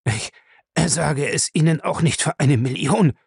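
Guy doing a cowardly beaten crook for an audiobook, high strained pitch, desperate and gaspy, dry sound. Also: expressive, normal speed, no room sound. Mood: desperate, defiant. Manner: gasping, moaning, desperate. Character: cowardly beaten crook, defiant, then terrified and pleading. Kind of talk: audiobook character acting.

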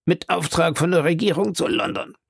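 A reader voicing a scheming male police detective who speaks in a low, confiding, persuasive way. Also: moderate pace, creaky, husky, no room sound, studio quality.

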